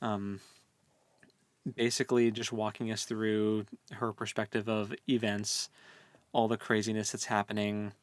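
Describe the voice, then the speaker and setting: A man co-hosting a casual book discussion, speaking in halting, informal phrases. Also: lowish voice, level tone, dry recording. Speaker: podcast co-host.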